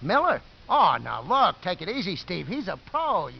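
An actor playing a cautious, skeptical football assistant with a questioning manner in 1950s radio drama. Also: male voice, sits high, wildly swooping pitch, normal speed, vocal fry, slight background noise, exaggerated voice. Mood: doubtful. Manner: doubtful, questioning. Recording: dull old radio recording.

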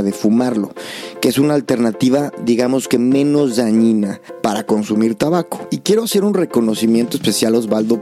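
Mellow-voiced male podcast host, music behind. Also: medium pitch. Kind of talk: podcast introduction and casual interview.

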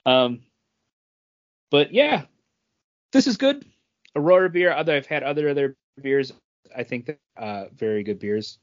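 A man co-hosts a casual podcast with a very lively, swinging pitch. He slips into quoting other people as he talks. The recording is slightly dull and lo-fi. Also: measured pace, long pauses. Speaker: podcast co-host. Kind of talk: casual chat.

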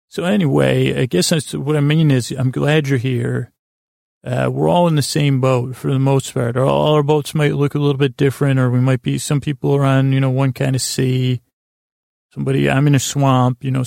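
A man with a creaky voice hosting a podcast, improvising a rambling bedtime monologue, halting and stammering as he repeats words. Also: mid-range voice, a little mellow, no echo, clean studio sound. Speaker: podcast host.

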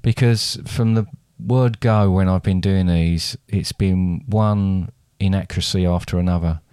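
A man's voice: deep voice, steady tone, unhurried, warm tone, clean tone, dry recording, clean studio sound. A man co-hosting a podcast, chatting casually, rambling and restarting his sentences. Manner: rambling, hesitant, with many restarts. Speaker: podcast co-host.

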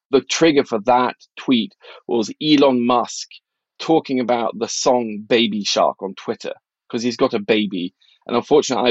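A man's voice: on the low side, dry recording, dull audio. A middle-aged man from Norway talking casually and analytically as an interview guest, blunt and opinionated in an informal way. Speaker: financial journalist and author, interview guest.

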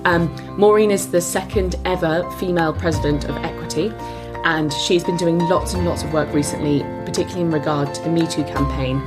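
A woman's voice: sits low, fairly even intonation, a little fast. Upbeat female podcast co-host over music. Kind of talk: podcast intro.

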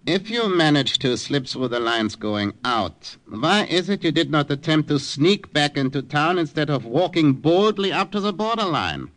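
An actor playing a stiff, officious German commandant in a 1940s radio drama. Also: male voice, on the high side, animated, normal speed, hardly any pauses, fairly bright tone. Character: German occupation commandant, pompous but suspicious.

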